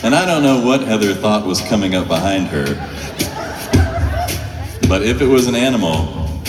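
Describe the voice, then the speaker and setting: A man's voice: fairly low pitch, lively intonation, normal speed, a crowd in the background. A man telling a wry, humorous story live on stage to an audience, in a colloquial way. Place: large hall, live stage show. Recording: echoey hall, distant, music behind.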